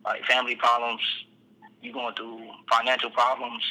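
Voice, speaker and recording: A man, a rapper, talking about his music in a casual phone interview, laid-back and halting, often repeating words. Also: medium pitch, monotone, bright, forceful tone. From Texas, USA. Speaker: rapper guest. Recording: tinny phone line.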